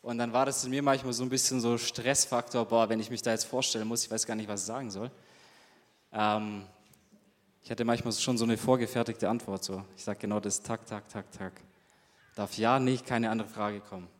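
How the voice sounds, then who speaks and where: A man's voice: mid-range voice, steady tone, brisk. Chatty young man in a reverberant hall. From Germany. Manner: casual, chatty, many fillers and rhetorical questions. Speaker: youth group leader. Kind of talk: interactive talk to a youth group.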